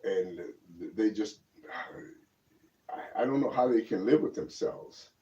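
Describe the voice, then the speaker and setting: A man's voice: fairly low pitch, unhurried, mellow. A man co-hosting a political talk show, giving casual, emphatic and opinionated commentary in a rambling way, often repeating words. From born in Germany. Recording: muffled lo-fi audio, distant microphone.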